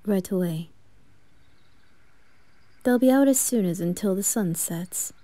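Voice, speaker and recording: A composed male butler, voiced by a woman addresses his household with formal restraint. The voice is mellow and dutiful, recorded dry for an audio roleplay. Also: medium pitch, a little slow, dry recording, natural voice. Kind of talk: roleplay ASMR acting.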